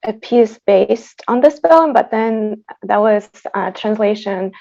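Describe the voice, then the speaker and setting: A woman who is a native Tatar speaker, giving an informal, reflective introduction as a composer, with halting phrasing, over a video call. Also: medium pitch, creaky, dark tone, highs cut off. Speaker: composer introducing her piece. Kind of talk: informal spoken introduction at an online concert.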